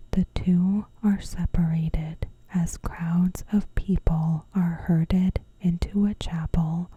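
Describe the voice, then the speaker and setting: A woman narrating a scripted recap in ASMR style, in a soft, whispery, breathy voice with gentle, deliberate short phrases. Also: on the low side, slight background noise, rough recording. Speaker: ASMR creator recapping a TV episode.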